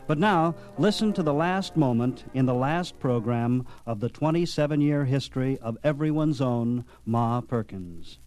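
A man's voice: mid-range voice, lively intonation, normal speed, hardly any pauses, over music. An American man hosting a radio nostalgia program with scripted narration.

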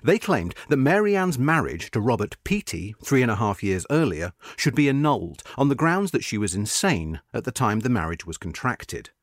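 Male narrator for magazine history feature: formal, measured, varied melodic intonation, dry close studio sound. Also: mid-range voice, sing-song, dry recording. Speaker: narrator reading a magazine article. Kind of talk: scripted narration of a history article.

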